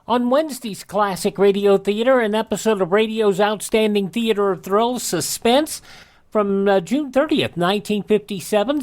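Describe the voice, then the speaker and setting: A man hosting a radio show, announcing from a script. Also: high-pitched.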